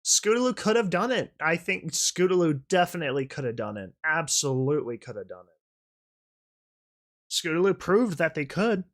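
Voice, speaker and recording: A narrator reading a story expressively and reacting with casual commentary, often repeating phrases for emphasis. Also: mid-range voice.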